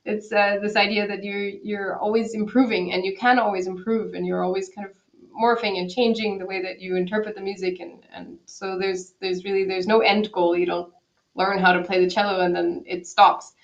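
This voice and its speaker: A woman's voice: medium pitch, flat delivery, normal speed. Woman guest on a dull video call. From Quebec, Canada. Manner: thoughtful, many restarts, soft laughs. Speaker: guest cellist. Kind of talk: casual interview answers. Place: home in Berlin.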